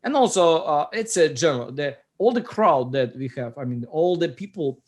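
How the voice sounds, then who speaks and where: A man's voice: a little high, animated, normal speed. A middle-aged man with a Russian accent, hosting a casual chat about his app and often searching for words. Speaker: app founder and host. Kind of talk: casual video call chat.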